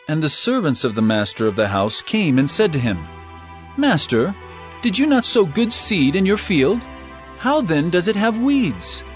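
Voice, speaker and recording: An actor playing an earnest male teacher of parables in a dramatized scripture reading, with measured, emphatic, declamatory phrasing. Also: medium pitch. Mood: storytelling.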